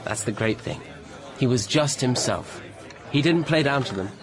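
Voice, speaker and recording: An actor playing a polite, loyal young secretary with a British accent in a radio drama. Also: male voice, medium pitch, animated, normal speed, close to an everyday voice. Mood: earnest, loyal. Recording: busy crowd noise behind.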